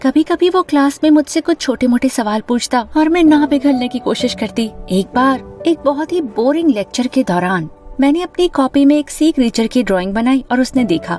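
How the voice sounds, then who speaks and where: A woman voicing a lovestruck, anxious young student who tells her animated story in an expressive, confiding way. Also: high-pitched, moderate pace, hardly any pauses. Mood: dreamy confiding. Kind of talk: animated first-person story narration and dialogue. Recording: music bed under the voice.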